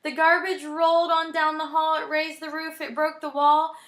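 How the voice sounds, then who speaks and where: A woman with a fairly high, clearly bright voice talks and reads as if to a young child at bedtime. Her delivery is affectionate and personal, turning animated and sing-song for children's verse. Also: normal speed, roomy sound. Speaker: storyteller reading children's poems. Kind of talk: personal bedtime video message and expressive poem reading for a child.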